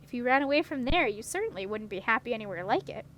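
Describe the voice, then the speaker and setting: A woman's voice: on the high side, expressive, quick pace, a bit bright, a little hiss or hum. A woman voicing an assured, practical young woman in a storybook. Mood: matter-of-fact. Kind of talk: storybook character reading.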